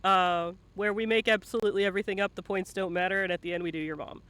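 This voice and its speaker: A man with a high, bright voice hosts a live-streamed interview. He speaks informally into a close mic, teasing and swearing as he goes in a playful, bantering style. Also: steady tone, fast talker. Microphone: close mic at a computer.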